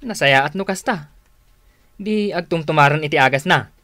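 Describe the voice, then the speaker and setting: A woman's voice: low-pitched, normal speed, a bit bright, dry recording, clean studio sound. A woman playing an inquisitive, agreeable family member in a radio drama, with an Ilocano accent. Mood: curious.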